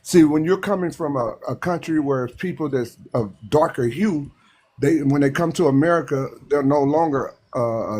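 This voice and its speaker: A man's voice: medium pitch, a little mellow, breathy edge. A man from Texas, making his point with emphasis and repeated restarts in a live panel discussion. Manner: emphatic, repetitive, halting restarts. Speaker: panel speaker.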